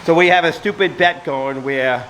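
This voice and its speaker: Casual male mechanic host, rambling storyteller, fairly high voice, noisy workshop audio. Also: normal speed. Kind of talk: casual rambling shop talk. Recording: noisy workshop, steady background hum.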